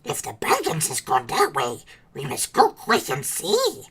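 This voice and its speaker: A woman's voice: mid-range voice, huge pitch swings, measured pace, voice pushed up, exaggerated voice. A woman voicing a wretched, treacherous male cave creature who hisses, whispers and talks to himself in an audiobook. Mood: brooding. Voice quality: hissing, breathy whisper. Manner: talks to himself, repeats words, swings between whimper and shriek. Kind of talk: audiobook character voice.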